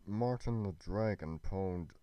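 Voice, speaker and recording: A man's voice: low voice, measured pace, muffled recording, slight background noise. A man playing a solemn, determined warrior hero in a Minecraft roleplay, speaking in grave, formal heroic phrases. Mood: hushed wonder. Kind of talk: amateur Minecraft roleplay acting.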